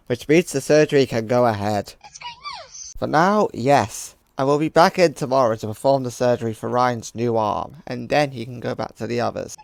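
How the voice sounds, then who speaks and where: A woman voicing a calm, caring robot surgeon in an amateur comic dub, speaking in a measured, reassuring way. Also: extremely low pitch, vocal fry, pitched below the performer's own voice, full character voice.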